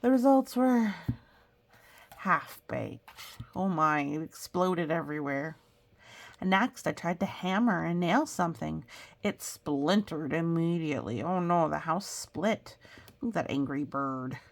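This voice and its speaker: A woman's voice: low voice, lively intonation, a little slow, creaky. A woman reading a picture book aloud as a storyteller, expressively, with chatty, explanatory asides for young listeners.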